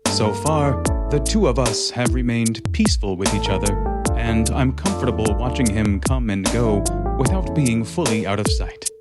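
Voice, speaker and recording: A man voicing a small, sneaky, wary creature in an audio drama monologue, narrating with smug disdain over loud music. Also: medium pitch, expressive, normal speed, lightly acted. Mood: scornful.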